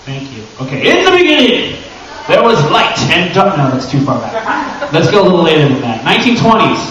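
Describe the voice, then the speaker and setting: A man's voice: high-pitched, sing-song, a little fast, crowd noise. A man giving an informal, joking live panel talk to an audience, with theatrical rhetorical questions. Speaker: convention panel presenter. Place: large echoey indoor panel room. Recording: echoey room from far off, muffled, noisy.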